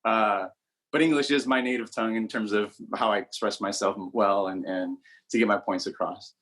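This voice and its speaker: A man's voice: lowish voice, fairly even intonation, normal speed, a bit bright. Guy in his 40s from socal, rambly, keeps repeating words while he figures out what to say. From Southern California. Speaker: interview guest. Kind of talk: casual interview conversation.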